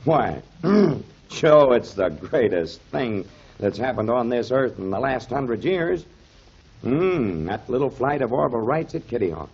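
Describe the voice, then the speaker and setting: A man's voice: medium pitch, sing-song, a little slow, a little mellow. An actor playing a concerned, hearty man in a 1930s radio serial, speaking in an emphatic, dramatic way. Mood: awed, emphatic. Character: concerned, hearty man, protective dog owner. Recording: dull, muffled 1930s radio recording.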